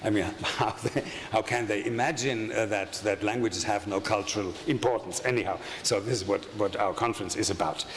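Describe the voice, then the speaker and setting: Older man with a German accent, academic conference lecturer, halting with fillers, roomy hall, faint room noise. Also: on the low side. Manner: halting, many fillers and restarts, wry asides. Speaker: conference speaker. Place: lecture hall with projection screen. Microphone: desk microphone at a lectern.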